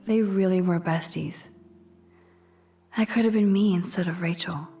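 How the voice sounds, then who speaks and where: American teen girl game heroine, soft musing inner monologue, bit wry, music behind. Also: on the low side, a little slow. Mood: reflective. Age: young adult. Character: teenage girl student, introspective, gentle, dryly playful. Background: background music.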